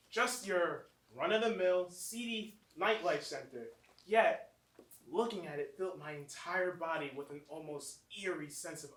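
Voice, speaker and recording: An actor playing an uneasy young clubgoer narrating a horror tale in a theatrical, deliberate staged reading. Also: mid-range voice, a bit bright, close to an everyday voice. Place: small theatre, recorded from a distance. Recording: distant roomy stage recording, faint noise.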